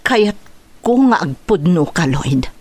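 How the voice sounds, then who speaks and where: Lady acting wounded young ilocano woman in a radio drama, slow accusing lines, really swoopy pitch. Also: sing-song, slightly rough, no echo, heavily acted. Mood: hurt, accusing. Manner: slow, emotional, accusing delivery. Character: hurt, betrayed young woman confronting her deceitful lover.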